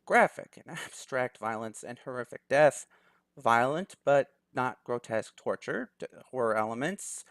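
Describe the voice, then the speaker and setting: A man's voice: mid-range voice, lively intonation, moderate pace, vocal fry, no room sound, clean studio sound. A young man hosting a let's play, chatting casually and reading a visual novel's story text aloud. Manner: chatty, laughs easily, thinks aloud.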